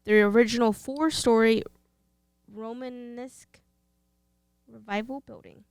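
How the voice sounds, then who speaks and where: Clear-voiced Texan woman co-host. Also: fairly high pitch, fairly even intonation, measured pace, no room sound, clean studio sound. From Texas. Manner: playful, teasing. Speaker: podcast co-host. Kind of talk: casual chat, reading facts.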